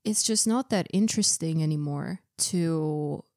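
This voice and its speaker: A woman's voice: low-pitched, a little slow, a little mellow, no room sound, studio quality. A young woman co-hosting a podcast, chatting thoughtfully and saying "like" a lot. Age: young adult. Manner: chatty, reflective, uses "like" a lot. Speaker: podcast co-host. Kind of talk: casual chat.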